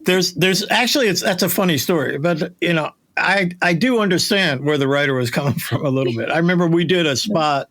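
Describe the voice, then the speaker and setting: A mature man with a very bright, forward voice pitched a little high. He hosts a relaxed chat, reminiscing about his trade in an informal, rambling way. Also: brisk, hardly any pauses. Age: middle-aged or older. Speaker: podcast host and audio producer. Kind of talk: casual conversation, reminiscing.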